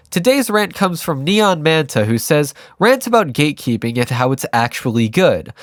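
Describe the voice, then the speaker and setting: A hoarse, congested young man ranting casually and bluntly to his audience, with profane, sarcastic asides. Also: mid-range voice, dry recording, clean studio sound. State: hoarse and congested from a sinus illness. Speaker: YouTube ranter. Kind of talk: casual rant to camera.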